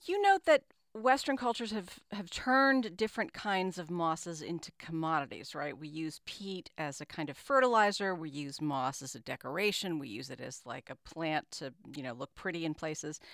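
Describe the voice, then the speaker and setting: A woman's voice: lowish voice, lively intonation, normal speed, fairly bright tone, no echo, clean studio sound. A woman interviewer who asks engaged, appreciative questions.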